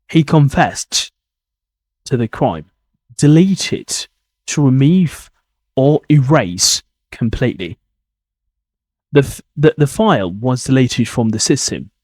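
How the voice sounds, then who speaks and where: A man with a husky voice speaks slowly and deliberately in a dry, close recording. He sounds like a teacher reading aloud for learners, often repeating words and phrases. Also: medium pitch, expressive, darkish tone, no room sound. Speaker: English teacher podcast host. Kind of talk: reading a suspense story and explaining vocabulary for learners.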